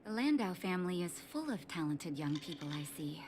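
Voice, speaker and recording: An actress playing a calm, shrewd underworld doctor in a video game, poised and deliberate with a probing manner. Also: female voice, on the low side, slight background noise. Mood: amused interest. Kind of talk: scripted video game voice acting.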